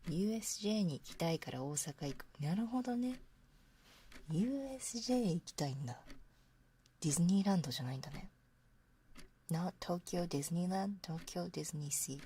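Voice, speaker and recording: A woman hosting an ASMR livestream, chatting casually in a soft, hushed voice that often slips into whispers, close to a binaural microphone. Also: fairly low pitch, animated, quick pace, pauses often. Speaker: livestream host. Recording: close binaural capture with faint noise. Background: faint noise, soft clicks of a ticking clock.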